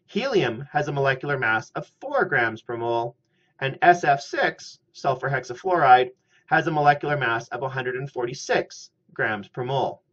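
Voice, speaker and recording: A middle-aged man giving a scripted chemistry lecture at a steady, methodical pace, recorded on a webcam in a small room. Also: mid-range voice. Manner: measured, methodical explaining. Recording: slightly muffled lo-fi audio.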